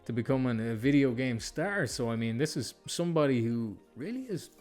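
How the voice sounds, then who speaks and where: A man's voice: medium pitch, animated, fairly quick, a little mellow, faint music behind. A man hosting a podcast, chatting casually and putting his points in an informal, rambling way. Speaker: podcast host. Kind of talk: casual interview chat.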